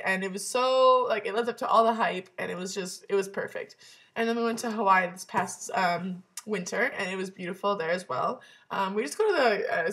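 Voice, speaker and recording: A woman's voice: medium pitch, fairly bright tone. An eighteen-year-old woman from Canada hosting a casual vlog, bubbly and rambling, with lots of ums and self-corrections. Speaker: vlog channel host.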